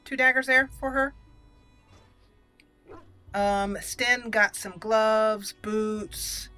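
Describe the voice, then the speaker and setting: A woman's voice: on the high side, steady tone, very slow, sharp tone. A woman giving casual, chatty gaming commentary, thinking aloud and exclaiming as she plays. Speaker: gameplay video host. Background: game music.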